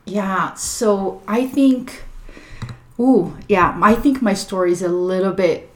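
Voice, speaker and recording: A woman with a Brazilian Portuguese accent, a podcast guest telling her life story in a thoughtful, unhurried way. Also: mid-range voice. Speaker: guest, clinical social worker. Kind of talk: reflective personal storytelling. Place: living room of a home. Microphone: table microphone.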